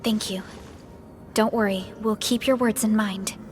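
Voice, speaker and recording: A woman voicing a calm, formal young company supervisor in a video game. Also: mid-range voice, measured pace, natural voice. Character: composed young female industrial company supervisor. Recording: faint music behind the voice.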